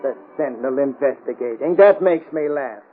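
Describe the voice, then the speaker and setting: A man's voice: medium pitch, moderate pace, over music, close to an everyday voice. A man acting a smug, sneering crooked official who orates pompously in an old radio drama. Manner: declamatory, pompous. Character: smug, sneering crooked city official and rabble-rousing orator. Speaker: actor. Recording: tinny, narrow-band old radio recording.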